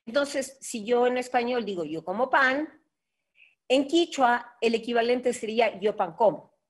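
A middle-aged woman with an Ecuadorian Andean accent, giving an online linguistics lecture in a measured, explanatory way with fillers and tag questions, recorded on a webcam. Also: fairly high pitch, slightly rough. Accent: Ecuadorian Andean Spanish. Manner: measured, explanatory, with frequent fillers and rhetorical tags. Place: home office with bookshelves. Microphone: webcam on a video call.

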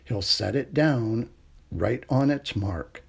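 Slow, husky man reading a bedtime story. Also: mid-range voice, animated. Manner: gentle, unhurried bedtime delivery with hushed refrains. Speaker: storytime reader. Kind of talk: reading a children's picture book aloud.

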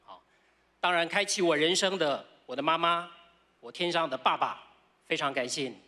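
A middle-aged man with a Taiwanese accent speaks as an award winner on a theatre stage. His voice is forceful and bright, set a little higher than most men's. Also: normal speed. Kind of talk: acceptance speech. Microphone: stand microphone.

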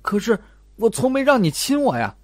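A man's voice: very high-pitched, big pitch swings, moderate pace, a little mellow, no room sound, voice pushed up, full character voice. A man playing a composed but defensive young student in an audiobook, coolly justifying themself. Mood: protesting. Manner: cool, self-justifying. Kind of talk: audiobook character acting.

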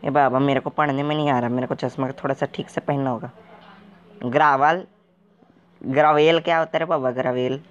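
A man's voice: medium pitch, steady tone, muffled recording, close to an everyday voice. A man putting on a bumbling talking game wanderer. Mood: baffled, jittery. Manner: ends nearly every line with a drawn-out "re baba" catchphrase, jumpy and naive. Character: clueless, excitable uneducated man wandering a game. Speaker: gaming video character. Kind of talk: comic game commentary in character.

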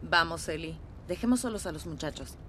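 An actress playing a firm middle-aged woman who leads a settlement in a dubbed video game, brisk and welcoming. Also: sits low, animated, fairly bright tone, slight background noise. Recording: game audio over clear music.

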